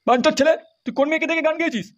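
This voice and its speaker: Brief: man playing irate cartoon dad, extremely high-pitched, rapid, cursing and threatening. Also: a bit bright, faint music behind. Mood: furious. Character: furious foul-mouthed middle-aged village father. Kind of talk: comedy cartoon dubbing.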